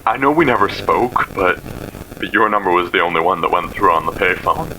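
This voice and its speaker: Male actor playing frightened, tearful man in horror audio drama, lowish voice, stammering into a payphone voicemail with clear background noise. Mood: frightened, weary. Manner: halting, stammering, repeating himself. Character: terrified, tearful missing man pleading in a voicemail.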